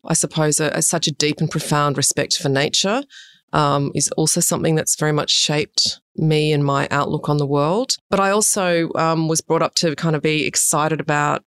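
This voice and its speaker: A woman's voice: low voice, normal speed, clean tone. A middle-aged Australian woman from Sydney, talking chattily and expressively as a guest in a podcast interview. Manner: chatty and expressive, with frequent fillers. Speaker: guest, urban design director.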